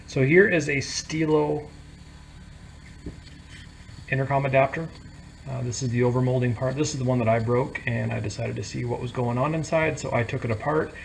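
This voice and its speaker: Gravelly, creaky-voiced man explaining DIY gear. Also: medium pitch, fairly even intonation, normal speed, long pauses, a little hiss or hum, rough recording. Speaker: DIY tutorial presenter. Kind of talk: casual unscripted how-to explanation.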